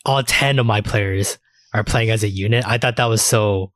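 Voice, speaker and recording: A man's voice: on the low side, moderate pace, fairly bright tone, no echo. A man hosting a casual anime discussion, talking in a rambling, slangy way with casual swearing. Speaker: podcast host.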